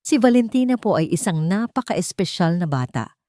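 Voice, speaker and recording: A woman narrator plays a kindly young housemaid in an audio story. She sounds gentle and respectful yet firm, in a mellow, dry voice. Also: on the low side, moderate pace, dry recording, lightly acted. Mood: calm, firm. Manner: calm but emphatic, respectful.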